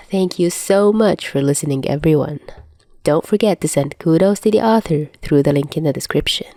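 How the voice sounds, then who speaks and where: Soft mellow woman reading a story aloud. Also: deep voice, normal speed, no echo, clean studio sound. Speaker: podfic reader and host. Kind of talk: scripted story narration.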